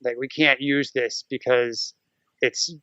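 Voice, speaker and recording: A man's voice: medium pitch, steady tone, slow pace, sharp tone, clean tone, no room sound, highs cut off. An American man chatting casually as a podcast guest, rambling and acting out his own thoughts as he goes. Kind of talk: casual podcast interview chat.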